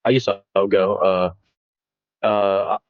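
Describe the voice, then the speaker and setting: Male player, about twenty, from the US, low voice, phone-like thin audio. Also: steady tone, very slow, no room sound. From United States. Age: 20s. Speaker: college student player. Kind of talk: casual self-introduction. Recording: tinny phone-like line.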